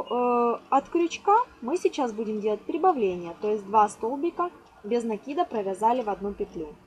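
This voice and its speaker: A woman's voice: fairly high pitch, darkish tone, poor audio. A woman giving an informal step-by-step craft lesson, instructing patiently with affectionate diminutive words. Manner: instructional, counts aloud, uses affectionate diminutives. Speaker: craft tutorial host. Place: indoors at a table. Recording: clear background noise, amateur home recording.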